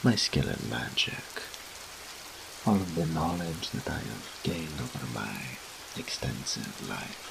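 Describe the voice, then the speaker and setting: An ancient, seductive incubus demon speaks in an extremely low, heavily gravelly voice. His delivery swings dramatically in pitch as he teases and boasts with theatrical arrogance, as in an intimate audio roleplay addressed straight to the listener. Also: noisy background, exaggerated voice. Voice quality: very deep, gravelly. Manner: slow, theatrical, teasing, pompous.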